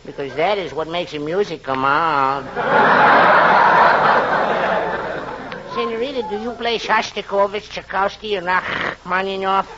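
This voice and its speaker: An actor playing an excitable, boastful comic Mexican man with a Mexican accent in 1940s radio comedy. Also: sits high, moderate pace, a loud crowd, lightly acted. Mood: playful teasing. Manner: exaggerated comic delivery. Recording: noisy, dull old radio recording.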